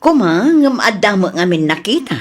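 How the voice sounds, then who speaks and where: A woman's voice: medium pitch, very animated, moderate pace. A woman acting a suspicious, firm shop owner who probes and questions, in an audio comedy drama. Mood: stern. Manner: probing, firm.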